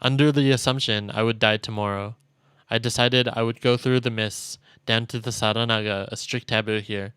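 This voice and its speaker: A man streaming a visual novel, reading its text aloud as dramatic, measured narration. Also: mid-range voice, steady tone, fairly bright tone, clear, resonant tone, dry recording. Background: game music.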